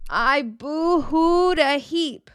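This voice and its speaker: Woman voicing a folksy, excitable young farm child. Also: high-pitched, drawn-out words, no room sound, clean studio sound, pitched above the performer's own voice, full character voice. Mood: folksy, heartfelt. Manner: folksy rural phrasing, exclaiming. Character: young farm child who raises dragons, proud and folksy.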